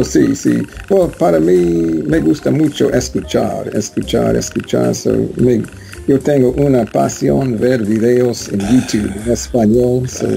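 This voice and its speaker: Halting American man speaking learner Spanish. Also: medium pitch, gravelly, dark tone, low-quality recording. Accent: American English. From United States. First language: English. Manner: halting, hesitant, with many fillers and restarts. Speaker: interview guest, Spanish learner. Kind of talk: casual interview chat.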